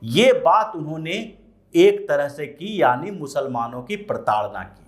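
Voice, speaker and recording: Man, fairly high voice, news commentary, explanatory manner, political analysis style. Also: lively intonation, unhurried.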